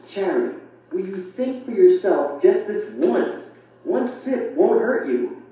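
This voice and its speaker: Dark-voiced, defiant young skeptic. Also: female voice, mid-range voice, very animated, slow. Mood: defiant, scornful. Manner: argumentative, mocking. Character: defiant, scornful young unbeliever goading friends. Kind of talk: audio drama acting. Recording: very echoey playback from far off.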